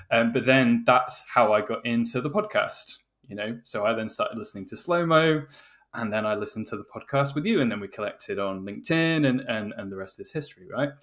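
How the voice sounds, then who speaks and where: A man's voice: on the low side, a bit bright. Male podcast host in conversation. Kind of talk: podcast introduction and conversational interviewing.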